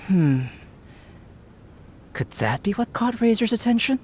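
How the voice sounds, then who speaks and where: An actor playing a composed, scholarly young alchemist in an anime game, measured, polite and detached. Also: male voice, sits high, big pitch swings, a little mellow, slight background noise. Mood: analytical.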